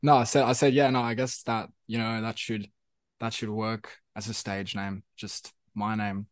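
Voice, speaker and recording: A man's voice: lowish voice, little pitch movement, moderate pace, no room sound, clean studio sound. Young Afrikaans-speaking South African man. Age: young adult. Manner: rambling, repeats himself, many fillers. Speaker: musician guest. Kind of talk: casual interview chat.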